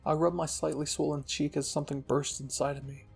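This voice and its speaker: Husky, gravelly male storyteller. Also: on the high side, flat delivery, fairly quick. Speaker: storyteller and channel host. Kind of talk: dramatic scripted fan-fiction narration. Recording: music behind the voice.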